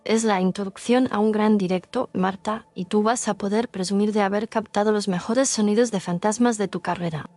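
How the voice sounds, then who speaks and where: Actress as confident young ghost-hunting streamer. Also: female voice, medium pitch, steady tone, brisk, hardly any pauses, darkish tone, close to an everyday voice. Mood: confident, eager. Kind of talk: scripted horror audio drama.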